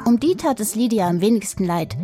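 A woman narrator telling a scripted children's radio play story in an expressive way. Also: medium pitch, a little fast, soft background music.

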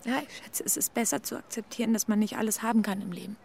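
A resigned young woman speaks intimately in a radio drama. Her voice is medium-pitched and creaky, with faint ambience behind it. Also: fast, darkish tone, slight background noise. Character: resigned young East German former sweetheart.

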